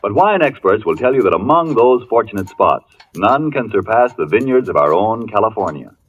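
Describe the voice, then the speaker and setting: Vintage commercial announcer: American man, persuasive, animated intonation, slightly husky, dull archival sound. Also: on the low side, normal speed. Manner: polished, persuasive. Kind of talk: 1940s radio sponsor announcement. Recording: dull old radio recording.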